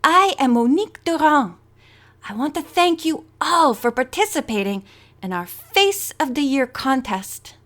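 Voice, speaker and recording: Woman putting on a poised French ex-model, high-voiced. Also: fairly bright tone. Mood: gracious. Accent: faintly French. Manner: formal, poised speech-making. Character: elegant French ex-model in her thirties, poised businesswoman.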